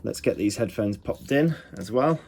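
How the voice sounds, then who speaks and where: A man's voice: lowish voice, animated, normal speed, creaky, a little mellow, slightly rough. A man hosting a casual hands-on product review, chatting enthusiastically about small details. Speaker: retro electronics review host.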